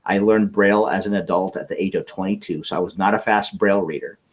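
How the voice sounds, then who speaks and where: Low-voiced earnest storyteller, man. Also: steady tone, fast talker, slightly rough. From California. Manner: earnest, often restarts words while telling a story. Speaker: interview guest. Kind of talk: personal storytelling in conversation.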